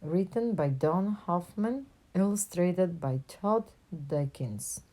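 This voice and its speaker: A woman reads a picture book aloud in an expressive storytelling style for children. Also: on the low side, takes it slowly. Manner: animated character dialogue with plain narration.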